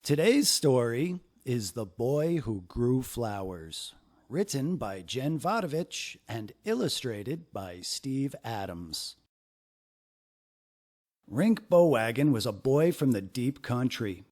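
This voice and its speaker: Slow, animated male children's storyteller. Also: medium pitch. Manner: expressive, deliberate storytelling delivery. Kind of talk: children's picture book read-aloud.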